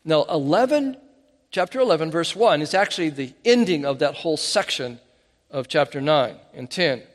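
A man preaching with an animated, emphatic delivery, often stopping to restart a phrase. His voice sits a little higher than most men's. A long hall reverb trails after his words. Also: moderate pace. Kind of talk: sermon.

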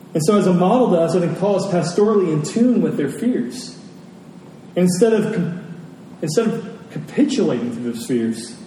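High-voiced man preaching in an echoey hall. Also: mellow. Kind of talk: sermon with personal anecdotes and scripture reading. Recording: very echoey hall, distant mic, faint noise.

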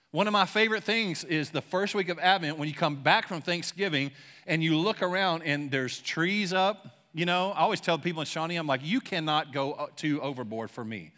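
A young man preaching a sermon in a church, casual and excited, using slangy talk and leading a prayer. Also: on the high side. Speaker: pastor. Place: church sanctuary.

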